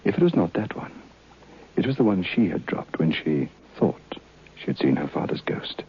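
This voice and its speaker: A very deep, husky male voice plays a shaken storyteller in a vintage radio horror drama. He speaks haltingly and dramatically, with gravel in the throat. The recording is old and dull. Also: very animated, full character voice. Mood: grave, reflective.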